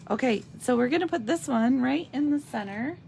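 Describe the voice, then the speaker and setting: A woman with a slightly raspy voice giving a chatty live craft demonstration, thinking aloud as she stamps and colors. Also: high-pitched, expressive, a little mellow, slight background noise. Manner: slow, chatty, thinks aloud while working.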